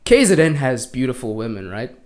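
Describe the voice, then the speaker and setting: A man co-hosting a podcast, telling stories in an animated, slangy way. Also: medium pitch, brisk. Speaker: podcast co-host. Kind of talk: casual chat.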